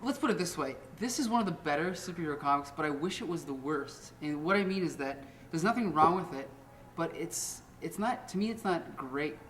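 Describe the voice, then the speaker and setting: A man's voice: on the high side, animated, brisk, a bit bright. A man giving opinionated critique in a casual panel debate, as a reviewer on a comic show. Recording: slightly distant mic, faint noise.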